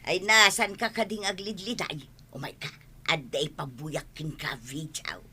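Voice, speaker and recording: Piercingly bright, nagging Ilocano village wife played by a woman. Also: deep voice, steady tone, a little slow, a little hiss or hum. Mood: coaxing. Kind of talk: Ilocano comedy radio drama acting.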